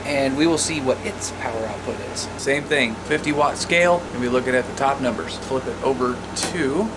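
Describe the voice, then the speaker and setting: American man, casual demo, noisy background. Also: a little high. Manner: informal, plain-spoken. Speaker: radio hobbyist presenter. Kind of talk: casual hands-on gear demonstration.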